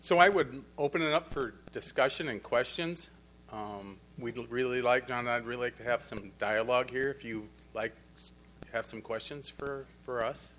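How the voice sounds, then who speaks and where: A man's voice: mid-range voice, normal speed, fairly bright tone, slight background noise. Earnest plain-spoken man presenting. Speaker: conference presenter on the pork industry. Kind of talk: speaking at a conference panel. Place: conference hall.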